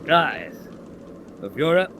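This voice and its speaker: An actor playing a cocky, hard-drinking submarine commander, jovial, teasing and theatrical. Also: male voice, a little high, sing-song, slow, vocal fry, background noise, heavily acted. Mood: teasing, tipsy. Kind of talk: dramatic radio acting.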